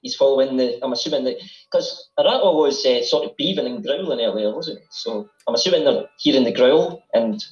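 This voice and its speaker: A man's voice: mid-range voice, animated, fairly quick, hardly any pauses. A man speaking as a guest in a casual chat, commenting while they watch an episode, with easygoing hesitations. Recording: roomy, dull-toned recording.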